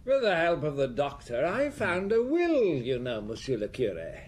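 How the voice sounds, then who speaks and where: A man's voice: on the high side, wildly swooping pitch, normal speed. A man playing a breezy, profligate count in a radio drama, flippant and wry. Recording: old radio, faint noise.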